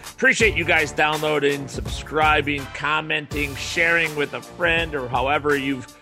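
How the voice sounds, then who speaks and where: Nasal, bright-voiced male podcast host. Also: on the high side, steady tone, unhurried, faint music behind. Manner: loose, rambling, salesy with frequent restarts. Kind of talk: solo podcast monologue.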